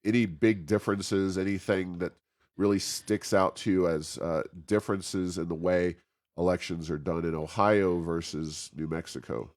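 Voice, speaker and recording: An American man hosting a conversational interview, speaking haltingly as he searches for his words. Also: sits low, fairly even intonation, darkish tone. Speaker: podcast host and think tank president.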